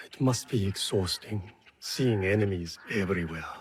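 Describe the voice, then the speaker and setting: An actor playing a kindly older male temple healer in a video game, husky, measured and gently wry. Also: deep voice, slight background noise. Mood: gently reproving. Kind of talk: video game cutscene acting.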